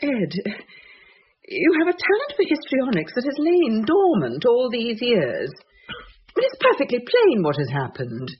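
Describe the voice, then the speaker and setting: A woman's voice: on the high side, huge pitch swings, a little slow, slightly rough, heavily acted. An actress playing a sensible, sceptical woman, practical, dry and composed in radio drama style. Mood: dismissive. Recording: dull lo-fi old radio recording, faint hiss.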